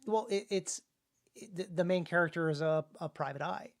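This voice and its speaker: A man's voice: on the high side, moderate pace. A man co-hosting a podcast and talking casually about his writing, often repeating words as he goes. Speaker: podcast co-host and author.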